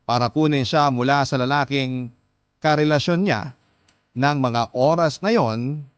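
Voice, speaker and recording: A man's voice: medium pitch, unhurried, no room sound, natural voice. A man playing an earnest young letter sender narrating his love story, with a Filipino accent. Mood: earnest, sympathetic. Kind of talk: earnest first-person scripted radio drama narration. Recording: clear music bed behind the voice.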